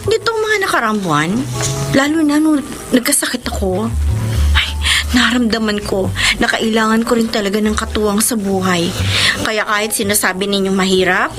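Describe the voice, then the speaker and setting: A woman's voice: on the high side, normal speed, music in the background, close to an everyday voice. An actress playing a chatty, playful single woman who gives frank advice in a Tagalog radio drama. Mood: coy, confiding. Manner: playful, advising.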